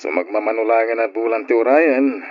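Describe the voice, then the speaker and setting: A man's voice: lowish voice, brisk, over music, close to an everyday voice. A man playing a caring, persuasive older brother in an old-style radio drama, on a dull lo-fi recording. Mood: fond, advising.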